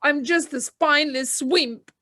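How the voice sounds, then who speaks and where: Timid small mole, female actor: gloomy, hesitant, high and slow, for audio drama. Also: bright, forceful tone, dry recording, studio quality. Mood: despairing. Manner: hesitant, meek. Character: timid, gloomy, self-doubting little mole. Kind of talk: amateur audio play acting.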